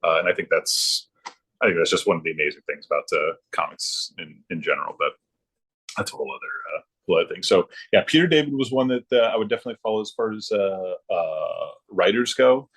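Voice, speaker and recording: A man chatting casually as a podcast guest, in a halting, rambling way with frequent ums and repeated words. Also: deep voice, expressive, fairly bright tone, husky. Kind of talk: casual chat about hobbies.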